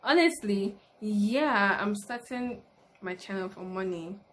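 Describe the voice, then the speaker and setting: A young woman with a Nigerian accent talks casually to her camera, answering questions as a new YouTuber. Her voice sits at a middle pitch for a woman. She is recorded in a room at home, with clear noise behind her. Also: slow. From Lagos, Nigeria. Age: early 20s. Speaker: YouTuber, university student. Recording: noticeable background noise, room ambience.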